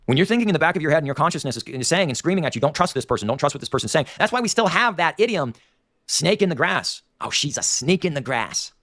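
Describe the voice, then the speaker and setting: A nasal-voiced man with a Dayton, Ohio Appalachian accent hosts a livestream, ranting in long, opinionated digressions. His pitch swings widely as he talks. The sound is dry and close. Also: lively intonation, quick pace, a bit bright, no echo. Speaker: livestream host. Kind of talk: rambling livestream commentary.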